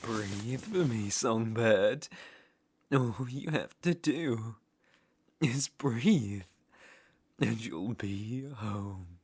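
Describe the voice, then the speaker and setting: A British man playing an obsessive, menacing ex-lover in an ASMR roleplay, speaking with deliberate mock tenderness and possessive mockery. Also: fairly low pitch, animated, darkish tone, breathy edge, heavily acted. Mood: coldly controlling. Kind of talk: ASMR roleplay audio drama, intimate second-person acting.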